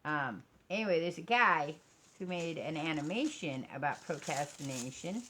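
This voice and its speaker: A woman's voice: deep voice, animated, moderate pace, fairly bright tone. An American woman chatting casually in a rambling, self-deprecating vlog recorded indoors. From United States. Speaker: vlogger and crafter. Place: indoors at a craft table. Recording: noisy home recording.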